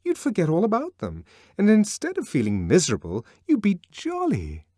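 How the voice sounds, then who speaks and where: A man reading an audiobook and voicing a conventional young woman who pleads in a high, quickly upset voice. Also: wildly swooping pitch, mellow, no room sound, clean studio sound, heavily acted. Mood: cheerful, insistent. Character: young woman, cheerful, conventional, easily distressed. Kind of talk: audiobook character voice.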